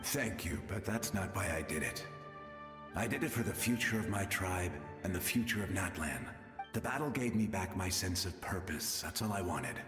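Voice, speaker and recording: A man playing the gentle, resigned ghost of a selfless warrior in a video game, wistful, with ghostly reverb over music. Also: sits low, expressive, normal speed. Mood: earnest. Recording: long hall reverb under prominent music.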